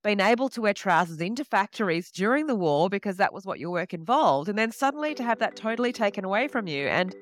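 A woman's voice: medium pitch, sing-song, fast, hardly any pauses. A woman novelist answering interview questions in a reflective, explanatory, conversational way.

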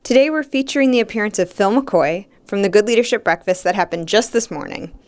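A woman's voice: medium pitch, a little fast, darkish tone, soft background music. A woman co-hosting a leadership podcast as an executive coach, upbeat and enthusiastic. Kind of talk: podcast chat.

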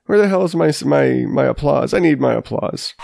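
A man's voice: medium pitch, expressive, normal speed, creaky, heavy background noise. A man in his thirties hosting his own podcast while stuffed up with a sinus infection, talking in a rambling, self-deprecating way with many restarts. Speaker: podcast host. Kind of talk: casual solo podcast monologue.

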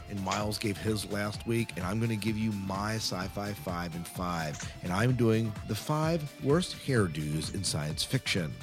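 Man, low-pitched, podcast host, chatty, self-correcting, faint music underneath. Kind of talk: casual podcast talk to listeners.

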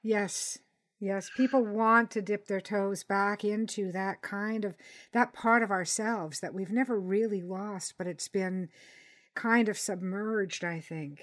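Woman, podcast host, medium pitch, conversational interviewing, encouraging, affirming. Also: steady tone, slow pace.

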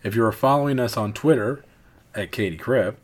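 A man's voice: medium pitch, a little fast. A man losing his voice who co-hosts a podcast with his daughter, chatting casually and ranting. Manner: animated ranting, frequent restarts. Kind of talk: casual chat.

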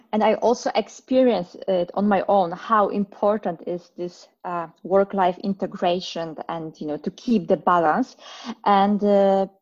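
A woman's voice: medium pitch, lo-fi sound. A woman in her thirties from Poland with a Polish accent, answering podcast questions earnestly with many hesitant fillers. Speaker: podcast guest. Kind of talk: casual interview answers.

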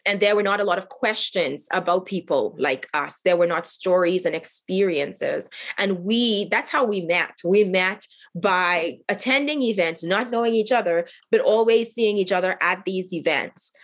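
Earnest woman from Haiti, lowish voice. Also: normal speed, few pauses. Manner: earnest, reflective, with frequent fillers and restarts. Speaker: guest, nonprofit cofounder. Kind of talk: interview storytelling.

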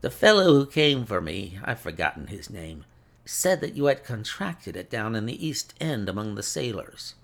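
A man's voice: lowish voice, very animated, moderate pace, gravelly, a bit bright, exaggerated voice. A man voicing a gloating, cruel villain in an audiobook story, taunting with smug mock politeness. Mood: cold contempt.

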